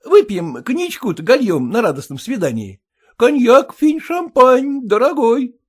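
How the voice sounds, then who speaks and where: A boisterous newly rich man bosses and treats his guests with hearty cheer, as a male narrator puts him on. His storybook voice is high and very animated. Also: unhurried, husky, exaggerated voice.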